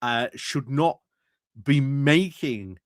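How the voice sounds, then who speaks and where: A man's voice: mid-range voice, animated, very slow, dry recording, clean studio sound. A man giving casual commentary on meeting clips, hesitant, with many fillers and restarts. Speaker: political commentary streamer.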